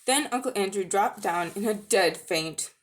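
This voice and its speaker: A woman reading a children's story aloud in an expressive, dramatic narrating style, with the odd stumble. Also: medium pitch, moderate pace. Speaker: amateur audiobook reader.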